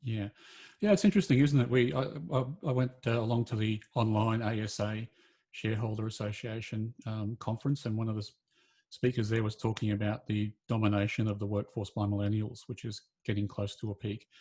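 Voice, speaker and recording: Male podcast co-host, slightly low pitch, agreeable casual chat with follow-up questions. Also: fairly even intonation, fairly quick, mellow.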